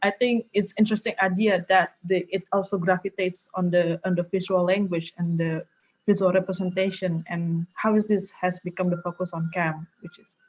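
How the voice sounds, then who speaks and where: A woman from Indonesia hosting an interview, putting prepared questions in halting phrasing. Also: lowish voice, steady tone. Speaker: interviewer, host. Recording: dull lo-fi call audio.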